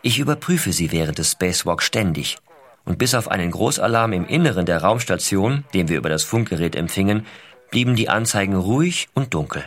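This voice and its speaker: An actor playing an American astronaut father, reading his letters in a measured, intimate, explanatory way. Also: low-pitched, animated. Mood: calm, explanatory. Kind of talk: scripted first-person letter narration.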